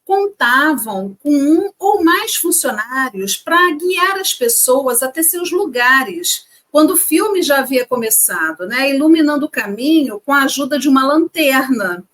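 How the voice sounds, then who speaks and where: A woman's voice: high-pitched, sing-song, a little slow, few pauses, a bit bright. A woman co-hosting a casual chat, reading parts of a script and talking chattily to viewers. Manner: chatty, addresses audience as "gente". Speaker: co-host.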